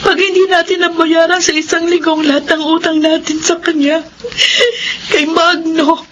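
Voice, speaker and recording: Sorrowful Filipino widow: high voice, close to weeping, radio drama with clear noise. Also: female voice, flat delivery, moderate pace. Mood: tearful, sorrowful. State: tearful. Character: sorrowful widowed mother, helpless and tearful.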